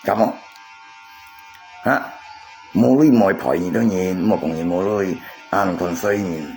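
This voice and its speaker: A man's voice: low-pitched, takes it slowly, a little mellow, soft background music, rough recording. A man chatting casually on a livestream, repeating himself and arguing his point to viewers. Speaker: live streamer.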